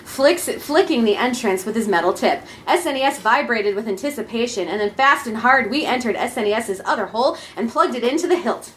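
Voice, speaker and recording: A woman tells a comic story live, reading it aloud. Her voice is high and swings around in an animated way. Some noise sits behind her. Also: fairly quick, hardly any pauses. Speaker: storyteller in comedy troupe.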